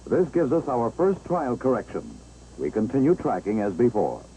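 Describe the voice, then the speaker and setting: Mellow American training-film narrator, lively pitch. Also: male voice, rough recording. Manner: measured, clear step-by-step explaining. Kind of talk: 1960s instructional film narration. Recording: old 1960s film soundtrack, engine-like background noise.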